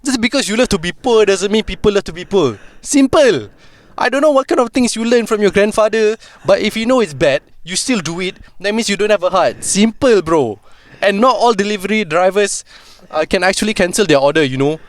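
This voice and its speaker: A man's voice: high-pitched, expressive, brisk, pitched above the performer's own voice. A man putting on a brash Singaporean ranting coach. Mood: mocking rant. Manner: scolding, exaggerated, sing-song.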